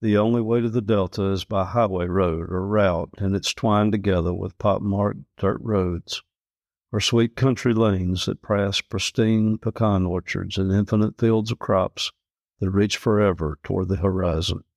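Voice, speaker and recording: Low guy reading a lyrical story, very dry sound, no room. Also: fairly even intonation, a little slow, a little mellow, no room sound. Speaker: reader, a farmer. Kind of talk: scripted reading of lyrical personal prose.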